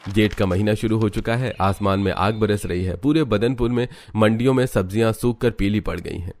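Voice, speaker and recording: Deep-voiced guy narrating a cartoon village story, scripted storyteller delivery. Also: fairly even intonation, brisk, a little mellow.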